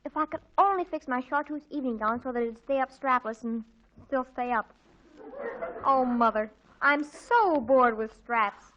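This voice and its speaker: A woman playing an excitable, bossy teenage girl in a 1940s radio comedy, dramatic and wheedling. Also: high voice, normal speed, lightly acted. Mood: excited, bossy. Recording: muffled 1940s radio recording.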